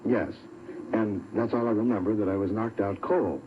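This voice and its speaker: An American man from Missouri telling a personal story as a radio guest, a little haltingly, on an old broadcast recording. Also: on the low side, phone-quality sound, slight background noise. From Saint Louis, Missouri. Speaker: show guest telling an anecdote.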